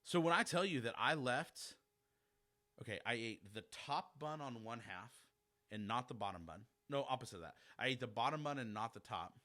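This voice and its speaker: Podcast host, forties, man, bright forceful voice, chatty and self-deprecating. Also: mid-range voice, moderate pace. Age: 40s. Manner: chatty, animated, self-deprecating, addresses listeners directly. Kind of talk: casual solo podcast monologue.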